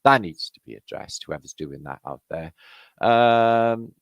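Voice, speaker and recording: Welsh male stream host, middle-aged, low gravelly voice, dry close sound, rambling and sweary. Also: animated, measured pace, no echo. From Wales. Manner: rambling, swears a lot, greets chat names. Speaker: livestream host. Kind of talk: casual live-chat banter.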